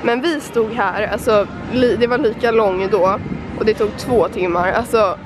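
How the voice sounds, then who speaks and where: A woman's voice: medium pitch, echoey room, a crowd in the background. Young woman, vlogger, exasperated, handheld camera, faint background noise. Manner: exasperated, complaining, many fillers. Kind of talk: casual travel vlog, then sit-down rant to camera. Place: outdoor theme park and parking lot, then a tiled bathroom. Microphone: handheld camera at arm's length.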